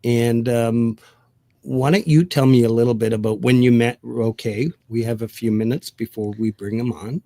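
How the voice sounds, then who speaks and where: A man's voice: medium pitch, fairly even intonation, normal speed, warm tone, no room sound. A man hosting a community music show, chatting casually with his co-host, laughing and joking often.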